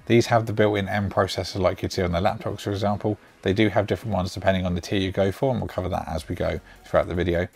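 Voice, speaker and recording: Fast-talking gravelly young man reviewing tech. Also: sits low, steady tone, darkish tone. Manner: brisk, chatty, spec-heavy explaining. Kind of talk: product review to camera. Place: indoor home studio with shelves.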